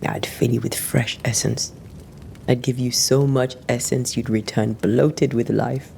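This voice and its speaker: Stern female monster hunter for a game cutscene: mellow, unhurried, dry, faint rumble behind. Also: fairly even intonation, vocal fry, heavily acted. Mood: dry, teasing. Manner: measured, solemn, dry. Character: stern, battle-weary 17th-century female ghost-hunter, devoted lover. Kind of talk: dramatic game cutscene acting.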